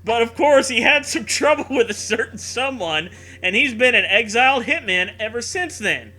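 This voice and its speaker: Male comedy host, very bright, lively swooping delivery, sarcastic story-reading with laughter. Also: extremely high pitch, normal speed, faint music behind. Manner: animated, sarcastic, often laughing through words. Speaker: podcast co-host. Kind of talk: comedic riffing while reading fan fiction aloud.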